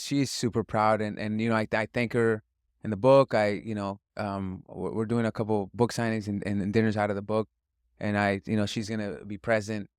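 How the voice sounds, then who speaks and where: A man with a fairly low, clear and resonant voice talks as a chef being interviewed. He is from Northern California and speaks casually and earnestly, often pausing and circling back as he thinks. The sound is close and dry. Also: no room sound. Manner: earnest, halting, reflective. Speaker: chef and restaurant guest.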